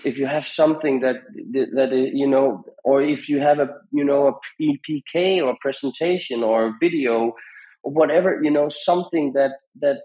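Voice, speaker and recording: A man speaking as a podcast guest, giving conversational advice in a halting, rambling way with repeated phrases. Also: mid-range voice, warm tone, poor audio. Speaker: podcast guest, music industry founder.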